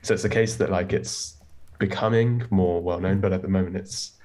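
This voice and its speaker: Male research engineer, very low voice, casual restarting delivery. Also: steady tone, normal speed, darkish tone. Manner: fluent but restarting, casual fillers like "like". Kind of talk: panel discussion on a video call.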